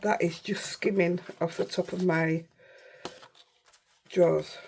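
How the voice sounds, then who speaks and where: Woman, Yorkshire accent, hoarse and croaky, gravelly, mellow dark tone, chatty and rambling. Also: fairly low pitch, fairly even intonation, slow, slight background noise. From Yorkshire, England. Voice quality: croaky, rough voice. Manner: chatty, rambling, self-interrupting. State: unwell, hoarse. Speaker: craft channel host. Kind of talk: casual crafting tutorial.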